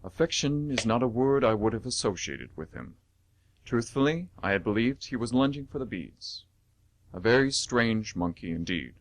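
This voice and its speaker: A man voices a pompous old-world monk in a radio serial. He muses in formal, deliberate phrases with solemn puzzlement. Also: medium pitch, expressive, close to an everyday voice. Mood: puzzled, solemn. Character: solemn, pompous Buddha statue monk, thinking aloud.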